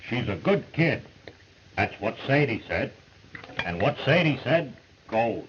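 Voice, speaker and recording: A man's voice: mid-range voice, big pitch swings, measured pace, muffled recording, noisy background. An actor playing a joking, folksy frontier man with a wry, watchful manner, on an old film soundtrack.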